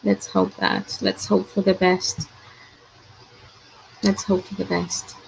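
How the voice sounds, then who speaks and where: Live chat host: woman, lowish gravelly voice, worried and hesitant. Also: very animated, slow pace, a little hiss or hum, rough recording. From Ecuador. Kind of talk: casual livestream chat.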